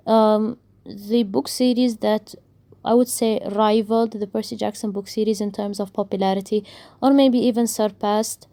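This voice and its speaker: A woman's voice: medium pitch, dark tone. A young woman, a native Arabic speaker, ranting casually about books she read, with a rambling, sarcastic delivery and many restarts. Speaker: book vlogger. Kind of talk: casual book rant.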